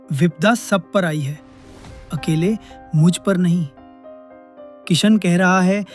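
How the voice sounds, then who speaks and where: A man acts out an elderly head of a village family in a cartoon tale. He is calm and earnest, pleading in slow, measured speech, with soft music behind. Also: fairly high pitch. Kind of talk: animated moral story dialogue.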